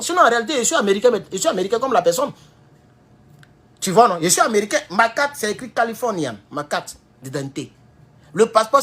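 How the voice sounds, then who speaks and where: A man with an Ivorian French accent giving a heated political commentary, jumping from loud high outbursts to low mutters. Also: breathy edge. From Ivory Coast. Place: at home in California.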